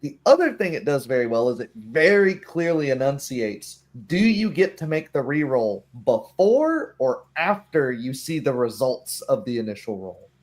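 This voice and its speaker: A man's voice: medium pitch, big pitch swings, moderate pace, hardly any pauses. A man chatting casually about tabletop game rules in an explanatory way, sometimes voicing short hypothetical remarks.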